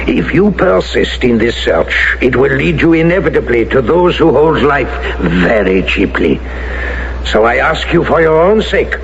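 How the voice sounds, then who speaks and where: A grave, courteous man in a radio mystery, formal and ominous. His gravelly voice swings widely in pitch, through a dull, very noisy old broadcast recording. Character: grave Frenchman issuing solemn veiled warnings. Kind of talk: radio drama acting.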